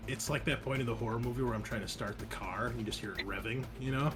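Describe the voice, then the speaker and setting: A man's voice: mid-range voice, quick pace. A man playing a tabletop game, chatting casually and thinking aloud in a hesitant way. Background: soft music.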